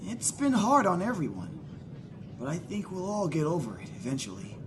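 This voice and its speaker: A man's voice: high voice, wildly swooping pitch, normal speed, reverberant, long reverb tail, background noise. A man playing a humble, sincere young cadet in an anime parody drama. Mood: reassuring.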